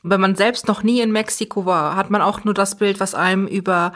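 A woman's voice: medium pitch, fairly even intonation, brisk, a bit bright. A young woman who grew up in Germany, speaking as an interview guest in a thoughtful, earnest way, often restarting phrases as she thinks. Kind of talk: reflective conversational interview.

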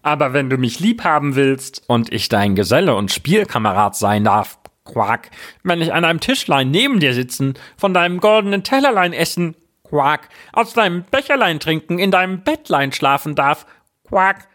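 A man voicing a croaking fairy-tale frog who pleads and bargains, in a storybook character voice. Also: on the high side, big pitch swings, normal speed, a bit bright, pitched above the performer's own voice. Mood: bargaining. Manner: punctuates speech with quacking croaks.

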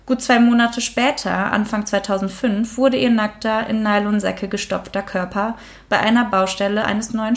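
A woman hosts a true crime podcast in a relaxed, chatty way, with frequent fillers. When she reads out the case, she switches to steadier storytelling. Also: medium pitch. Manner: casual, many fillers, reads case notes aloud. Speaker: podcast host.

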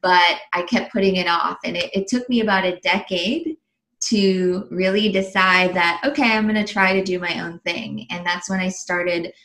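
A woman's voice: fairly low pitch, moderate pace, fairly bright tone, rough recording. A woman in her thirties, raised in Los Angeles, chatting easily in an interview with frequent ums and little laughs. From Philippines, raised in Los Angeles. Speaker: guest, online entrepreneur. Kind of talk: casual interview answers.

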